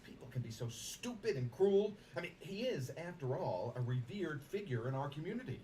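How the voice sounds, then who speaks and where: A man's voice: on the high side, big pitch swings, brisk, mellow, recorded from a distance, a little hiss or hum, low-quality recording. Man acting a smug, scheming businessman, swooping voice. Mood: feigned shock. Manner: unctuous false sincerity, theatrical asides. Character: scheming smug businessman faking concern on a phone call. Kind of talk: dramatic monologue, one side of a phone call.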